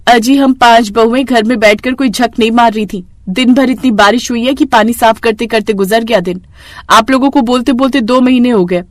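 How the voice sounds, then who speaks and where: A woman voicing a fed-up, sharp-tongued young village daughter-in-law who complains in exclamations in a cartoon story. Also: fairly high pitch, normal speed, no echo, lightly acted. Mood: scolding. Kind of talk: cartoon moral story dialogue.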